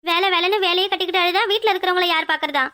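A woman's voice: extremely high pitch, steady tone, very fast, pushed and bright. A woman playing an emotional, stubborn young wife in a domestic drama, pleading and pouting in a plaintive, insistent way. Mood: hurt, accusing. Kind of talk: domestic serial drama acting.

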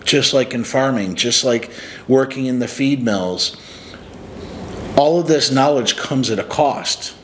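A man's voice: medium pitch, a little slow, a little hiss or hum. A man in his forties from upstate New York, talking earnestly to the camera as a life coach in a small room. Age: 40s. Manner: earnest, reflective, motivational. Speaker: life coach and channel host. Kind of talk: casual talk to camera. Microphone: camera close up, sitting on a couch.

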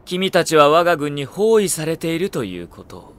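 Anime drama role: smooth, haughty young male strategist; theatrical, widely varied pitch, measured and ruthless. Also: sing-song, slight background noise. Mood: condescending, cool. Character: suave, condescending young warlord's strategist, coolly ruthless. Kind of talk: Japanese anime audio drama acting.

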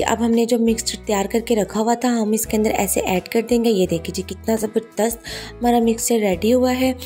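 Woman with a mellow cooking-show voice. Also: a little high. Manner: warm, reassuring, repeats "ye dekhiye ji" and "ji janab". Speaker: cooking channel host. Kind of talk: step-by-step cooking voice-over.

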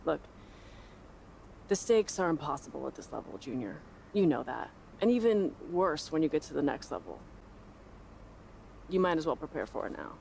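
A sharp American female sports journalist in her thirties for a video game cutscene. She is self-assured and provocative, with a pointed, sardonic delivery. There is faint noise behind the voice. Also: deep voice, very animated, normal speed, gravelly, long pauses, full character voice, low-quality recording. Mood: earnest, unyielding.

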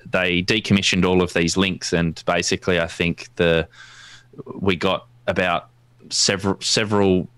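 Australian man, deep voice, dry close sound, informal technical talk, halting explanatory delivery. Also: fairly bright tone, no room sound. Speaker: guest presenter, amateur radio enthusiast. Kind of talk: informal technical presentation with slides. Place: indoors at a desk, video call.

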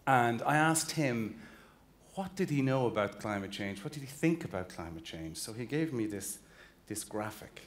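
A man's voice: medium pitch, expressive, moderate pace. A man in his fifties with an Irish accent, giving an earnest, emphatic conference keynote on a large convention hall stage, walking as he talks and often restarting phrases. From Ireland. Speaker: chief executive giving a keynote. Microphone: stage mic, walking the stage with a slide clicker.

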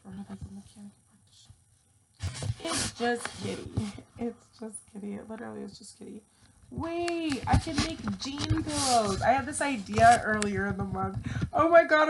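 Gravelly twenty-year-old woman rambling. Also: on the high side, background noise. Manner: rambling, profane, thinks aloud. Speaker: vlogger. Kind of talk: casual chat to camera. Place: small bedroom, indoors. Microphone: earphone mic, laptop webcam.

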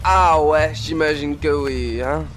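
A brash little cartoon imp assassin, voiced by a man, casual and hesitant. The voice sits on the high side for a man. Music is clearly heard behind it. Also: takes it slowly, a bit bright. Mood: evasive. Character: brash, awkward, foul-mouthed male imp assassin. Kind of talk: French fan dub of an animated series.

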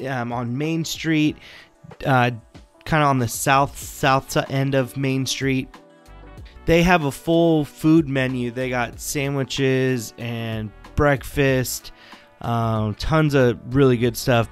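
A man in his thirties casually narrating a walking tour outdoors on a city street, friendly and relaxed with plenty of fillers, recorded on a phone held at arm's length. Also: medium pitch, clear, resonant tone. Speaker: local vlogger.

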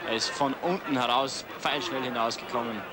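A man's voice: mid-range voice, normal speed, a loud crowd. A man with an Austrian accent giving colloquial expert analysis during live ski flying commentary. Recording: noisy outdoor sports broadcast.

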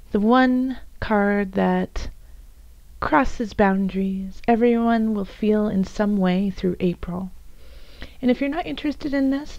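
A woman with a hoarse, raspy voice from bronchitis, gently giving a tarot reading straight to her viewers. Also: mid-range voice, no room sound, lo-fi sound. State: hoarse from bronchitis, voice coming and going. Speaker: tarot reader and channel host. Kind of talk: soft spoken tarot card reading to viewers.

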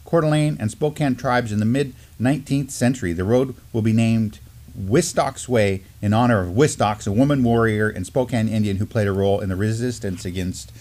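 A man's voice: fairly low pitch, a little fast, a little mellow. A middle-aged man with an American accent co-hosts an informal news discussion, seated at a desk in a small studio. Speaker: co-host of a Native news live stream. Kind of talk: informal news discussion, partly reading articles aloud.

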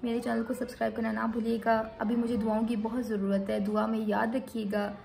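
A woman's voice: on the high side, little pitch movement, a little fast, gravelly, mellow. A young woman with a Pakistani accent chatting casually and informally as a vlogger, dropping in English words. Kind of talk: casual vlog chat.

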